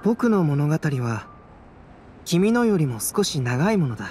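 A man playing a calm, cerebral young alchemist in a Japanese anime game, speaking in a measured, soft-spoken, enigmatic way. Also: a little high. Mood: solemn storytelling. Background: background music.

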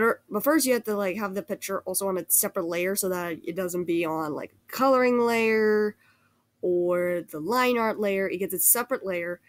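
A woman's voice: medium pitch, dry recording. Sick girl w a cold rambling thru an art critique, lots of um and restarts, dry computer mic. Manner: rambling, hesitant, many restarts and fillers. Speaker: art critique video host. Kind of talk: casual art critique over screen recording.

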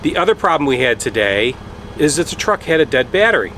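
A man's voice: medium pitch, very animated, a little fast, fairly bright tone, a little hiss or hum. A man in his forties talking casually to camera outdoors at a campground, plain and matter-of-fact with frequent ums. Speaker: RV travel vlogger. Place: outdoors at a wooded campground beside a pickup truck. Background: idling truck engine.

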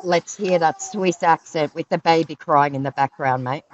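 A woman's voice: sits low, normal speed, dull audio, slight background noise. A middle-aged woman on a video call, sarcastic and mocking as she scolds the other person. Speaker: scambaiter. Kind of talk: confrontational phone call. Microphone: phone on a video call.

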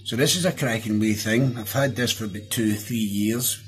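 A man's voice: on the low side, moderate pace, faint music behind. A middle-aged Scottish man giving a casual, chatty, down-to-earth hands-on product review. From Scotland. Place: small indoor room at a desk.

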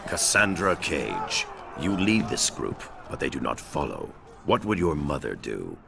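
Very deep, gravelly ninja grandmaster. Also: male voice, very animated, exaggerated voice. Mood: stern challenge. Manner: measured, formal, commanding. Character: stern, unyielding ninja clan grandmaster, mature and imposing. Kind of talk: dramatic video game acting. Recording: loud game music behind.

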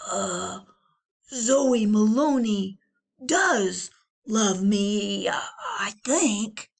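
A woman's voice: mid-range voice, expressive, extremely slow, vocal fry, slightly rough, heavily acted. A woman voicing an earnest, lovestruck young schoolboy who pleads eagerly. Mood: unsure.

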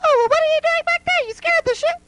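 A man's voice: very high-pitched, fast talker, pushed and bright, slight background noise. A man voicing a hapless, whiny male doll in a homemade puppet comedy skit, wailing and exclaiming like a perpetual victim. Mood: whiny, chatty.

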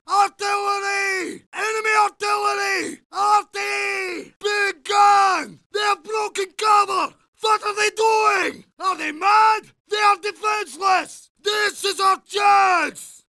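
An actor voicing an urgent, earnest young Russian soldier in a war video game, calling out short battlefield lines in a strained Russian accent. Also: male voice, very high-pitched, vocal fry, bright, forceful tone, no room sound, studio quality, heavily acted. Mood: alarmed, urgent.

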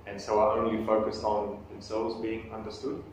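A man's voice: fairly low pitch, monotone, normal speed. A man in his thirties speaks to a small audience, joking at his own expense and restarting sentences as he goes. He is recorded by a camera across a small meeting room, so his voice sounds distant and very echoey, with faint background noise. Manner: conversational, self-deprecating humor, frequent restarts. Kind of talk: live talk with questions. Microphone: far camera on table.

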